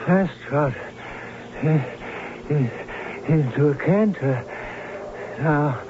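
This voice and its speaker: An actor playing an exuberant, romantic young German nobleman and bridegroom-to-be, florid and theatrical in an old-time radio drama. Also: a little high. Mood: delirious. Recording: muffled, very noisy old radio broadcast.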